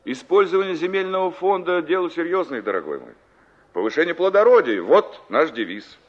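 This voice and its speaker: A man's voice: on the high side, very animated, fairly quick. An actor playing an officious, dismissive village farm chairman in a 1960s Soviet radio drama, pompous and speaking in slogans. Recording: dull old radio drama recording.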